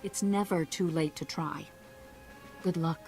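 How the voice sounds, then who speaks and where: A woman's voice: deep voice, steady tone, a little slow, darkish tone, low-quality recording. An actress voicing an anxious, polite and earnest female starship passenger in a video game. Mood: urgent. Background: game music.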